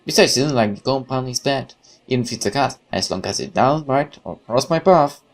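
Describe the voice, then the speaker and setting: A man doing a let's play, reading game text aloud and adding chatty, expressive commentary. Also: medium pitch, brisk. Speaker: gaming YouTuber. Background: guitar music.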